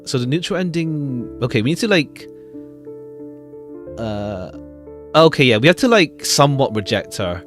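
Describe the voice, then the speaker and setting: A man's voice: mid-range voice, very animated, moderate pace, long pauses. A man giving casual commentary as he plays a visual novel, rambling and repeating phrases as he thinks aloud. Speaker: streamer playing a visual novel. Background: game music.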